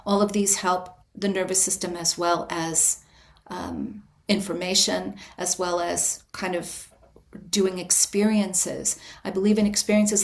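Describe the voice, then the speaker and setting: A woman guest on a podcast interview, a writer and retreat leader, explaining her approach in a calm, invitational, measured way with frequent restarts. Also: mid-range voice. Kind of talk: reflective interview answer.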